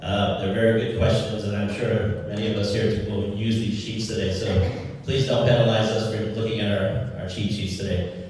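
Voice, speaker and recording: Halting man from Guam in an echoey hall. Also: lowish voice, level tone, darkish tone, distant mic, slight background noise. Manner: halting, self-deprecating, many fillers. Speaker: senatorial candidate. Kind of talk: candidate forum speech.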